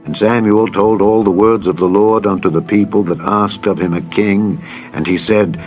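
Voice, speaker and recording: A man narrating a dramatized scripture reading in a solemn, measured, formal manner. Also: fairly low pitch, fairly even intonation, muffled recording. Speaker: Bible narrator. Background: background music.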